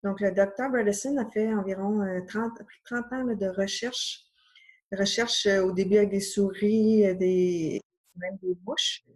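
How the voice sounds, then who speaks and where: Québécoise health coach, 40s, mid-pitched and slightly husky, earnest and hesitant delivery. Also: female voice, steady tone, measured pace, a little mellow. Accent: Québécois French. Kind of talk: casual explanatory talk to camera.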